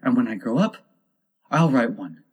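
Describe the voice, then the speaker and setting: A man's voice: mid-range voice, animated, moderate pace, a little mellow, slightly rough. A man voicing a curious young girl who muses and questions herself in a storybook. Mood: musing. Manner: wondering, self-questioning.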